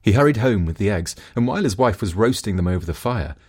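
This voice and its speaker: A male narrator reads a folk tale from a script. His voice is fairly low and husky, and his intonation is very lively, rising and falling widely. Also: quick pace. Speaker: storybook narrator.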